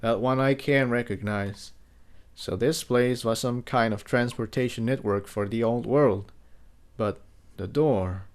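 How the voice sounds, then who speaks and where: A man playing a sarcastic young male unicorn inventor in a storybook reading, quiet, dry and musing. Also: mid-range voice, unhurried, lightly acted.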